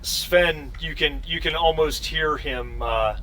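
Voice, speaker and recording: A man narrating a tabletop roleplaying game as game master, explaining things in a halting way. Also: a little high, pushed and bright, poor audio. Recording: faint background noise.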